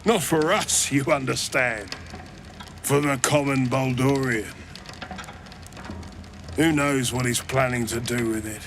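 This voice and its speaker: Shifty banker from a fantasy game, wry and sarcastic, unhurried, mid voice, some noise behind. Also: male voice, medium pitch. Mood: persuasive. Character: self-serving male banker, wry, glib and greedy. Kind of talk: video game voice acting.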